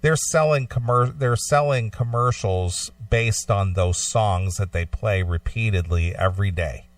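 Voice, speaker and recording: Low male voice, talk show co-host, explanatory, halting repeats, dry sound, distant from the mic. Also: no room sound. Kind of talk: casual opinionated radio discussion.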